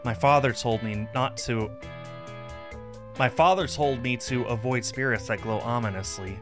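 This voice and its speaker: A man's voice: mid-range voice, normal speed, music in the background. A man streaming a game and narrating its visual novel text aloud.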